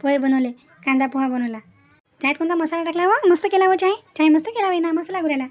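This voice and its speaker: A woman acts out a homely middle-aged mother from a cartoon family story. Her voice is high, with a Vidarbha Marathi accent, and she speaks in a gentle, reassuring way. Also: quick pace. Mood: contented. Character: soothing middle-aged mother, tender, placating wife. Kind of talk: cartoon family drama dialogue.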